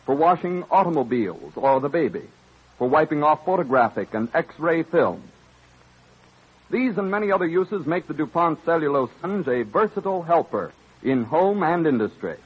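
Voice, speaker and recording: Vintage male ad announcer: highish, animated, slightly rough husky voice, emphatic selling, muffled old broadcast sound. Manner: emphatic, polished salesmanship. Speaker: radio announcer, sponsor spokesman. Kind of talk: scripted 1930s-40s radio commercial. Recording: dull old radio broadcast recording.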